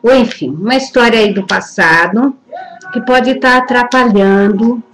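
A woman's voice: a little high, measured pace, fairly bright tone, soft background music, rough recording. A middle-aged woman with a Brazilian accent hosting a live web TV call-in show, bubbly and laughing often, recorded on a webcam in a small room. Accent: Brazilian Portuguese. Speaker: web TV host taking call-ins. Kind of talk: lively live call-in chat. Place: small indoor room, seated at desk.

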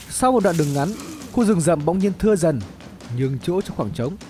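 A man reading a scripted dramatic story as narrator, in an expressive storytelling manner. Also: on the high side. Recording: music bed under the voice. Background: background music.

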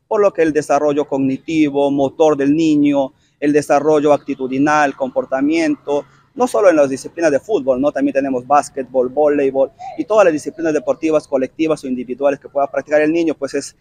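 A man in his thirties with a Peruvian accent, a youth football coach answering an interview on an outdoor pitch, measured and explanatory. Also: little pitch movement, darkish tone, no echo. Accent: Peruvian Spanish. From Peru. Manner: measured, explanatory, frequent tag questions. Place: outdoor artificial-turf football field. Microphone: handheld reporter mic held close.

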